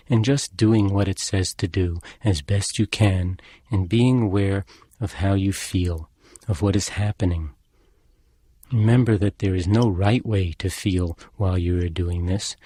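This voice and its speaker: Deep, husky man guiding meditation. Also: no room sound. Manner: calm, measured, gently instructing. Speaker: meditation instructor. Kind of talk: guided meditation narration.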